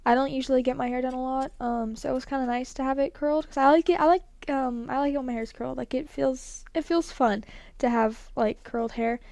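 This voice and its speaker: A woman's voice: high voice, fairly even intonation, fairly quick, creaky, a little mellow, dry recording. A teenage girl telling a story in casual chat, rambling with lots of "like", slightly congested from a cold. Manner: rambling, many "like" fillers. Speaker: vlogger.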